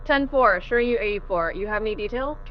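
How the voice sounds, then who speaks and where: Fast talking police dispatcher, brisk, noisy muffled radio. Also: female voice, medium pitch, expressive. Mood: brisk, businesslike. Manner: brisk, terse, official. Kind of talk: audio drama police radio dialogue.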